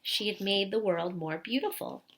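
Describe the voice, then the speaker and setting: A woman's voice: mid-range voice, a bit bright, slight background noise, low-quality recording. A woman reading a children's picture book aloud expressively, in the manner of a teacher talking to young listeners. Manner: pauses to ask young listeners questions.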